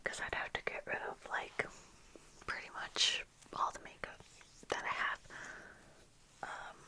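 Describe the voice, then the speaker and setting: Young female ASMR creator, very bright breathy whisper, casual rambling chat at an unhurried pace, close-miked. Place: small indoor room with bookshelves. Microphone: close fluffy-covered ASMR microphone, earphones worn.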